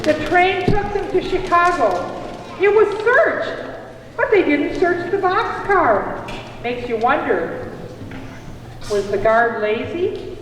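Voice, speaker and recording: Woman doing dramatic puppet show storytelling, high voice, really echoey hall, mic far off. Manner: dramatic, deliberate, expressive delivery. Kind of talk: theatrical live storytelling, addressing a puppet companion. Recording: very echoey hall, distant mic, faint noise.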